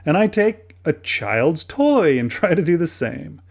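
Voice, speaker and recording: A man's voice: on the high side, very animated, a little slow, gravelly. A middle-aged American man narrates a how-to hobby video from a script, conversationally and with light self-deprecation. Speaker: toy hobbyist and video host.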